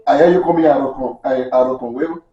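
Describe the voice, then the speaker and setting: A man's voice: mid-range voice, quick pace, roomy sound, recorded from a distance, highs cut off. A man co-hosting a casual podcast, teasing his friend in mock arguments. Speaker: podcast co-host. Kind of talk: casual chat over food.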